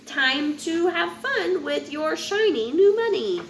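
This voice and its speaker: A woman reading a rhyming children's picture book aloud in an expressive, sing-song way, occasionally stumbling over a word. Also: very high-pitched, slow pace. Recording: roomy echo with faint background noise.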